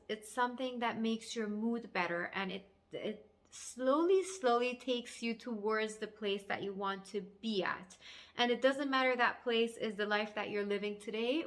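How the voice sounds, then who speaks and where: A woman life coach with a Persian accent speaks in a fairly high voice. She is a native Farsi speaker delivering a motivational talk in English to viewers. Her manner is earnest and encouraging, with emphatic phrasing. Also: a bit bright. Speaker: life coach and video host.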